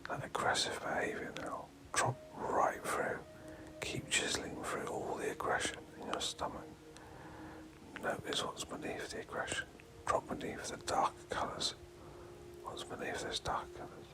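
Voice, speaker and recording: A man guiding a meditation in a breathy whisper, speaking in short, hypnotic phrases with pauses and repeated words. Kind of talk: guided meditation, hypnotic and repetitive.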